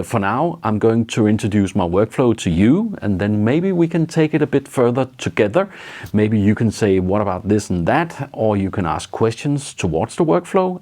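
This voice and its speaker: Low-voiced Danish man explaining. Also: darkish tone. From Denmark. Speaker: YouTube creator. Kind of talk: explaining his workflow to camera.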